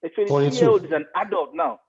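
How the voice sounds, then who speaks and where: A man's voice: sits high, fast, a little mellow, breathy edge. A middle-aged man with a Nigerian accent giving conversational commentary as an interview guest, emphatic and repeating phrases to press his point. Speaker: interview guest, media expert. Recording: phone-like thin radio-style sound.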